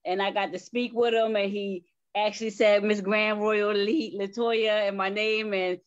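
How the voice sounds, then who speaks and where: Casting: woman, mid-pitched, casual and effusive rambler, slightly muffled recording. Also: level tone, moderate pace. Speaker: stage play cast member. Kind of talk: casual conversation. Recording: dull, lo-fi audio.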